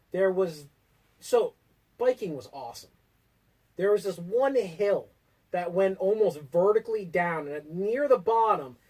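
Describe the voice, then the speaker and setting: A man's voice: sits high, a little mellow. An American man in his early thirties from Connecticut, co-hosting and telling stories in a casual chat. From Connecticut, United States. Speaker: co-host. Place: basement room. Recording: voice somewhat distant from the mic.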